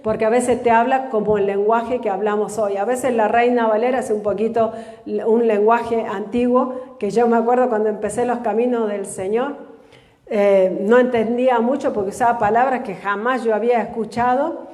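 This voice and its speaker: A woman pastor teaching a live church sermon. She reads scripture slowly and has the congregation repeat after her. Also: a little high, fairly even intonation, a little mellow. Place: church in a large hall. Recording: echoey church hall with long reverb.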